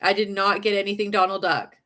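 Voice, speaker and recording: A woman's voice: medium pitch, little pitch movement, brisk, sharp tone. A woman with a slight Southern accent, hosting a casual livestream chat in a rambling, chatty way. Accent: slight Southern American. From northern United States. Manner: chatty and rambling, many fillers and giggles. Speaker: livestream host.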